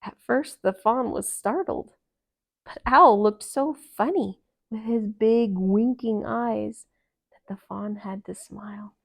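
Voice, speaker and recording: Slow, gentle woman reading a storybook. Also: mid-range voice, gravelly. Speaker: storybook reader. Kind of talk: expressive, calm children's read-aloud narration.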